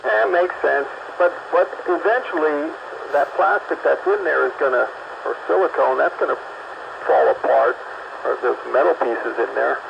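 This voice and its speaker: A man with a gravelly, somewhat high voice rambles hesitantly, stopping and restarting his sentences. He comes through a dull, lo-fi line with steady noise behind him. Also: normal speed. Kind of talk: casual chat.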